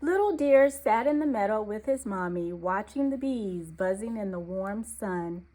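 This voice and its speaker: Slow, expressive woman reading a storybook. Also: medium pitch, poor audio. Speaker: storybook narrator. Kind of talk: children's read-aloud narration.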